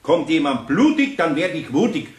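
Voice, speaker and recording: A man's voice: fairly high pitch, big pitch swings, normal speed, reverberant. An actor playing an older master craftsman with a Bavarian accent in a children's radio play, grumbling but patient and kindly. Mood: patient, teasing.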